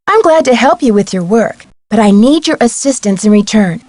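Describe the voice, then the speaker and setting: A woman's voice: a little high, expressive, normal speed, a little mellow, no echo. A woman playing a polite, inquisitive young female amateur detective in scripted video game dialogue. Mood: curious, persuasive. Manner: courteous, inquisitive, clearly enunciated.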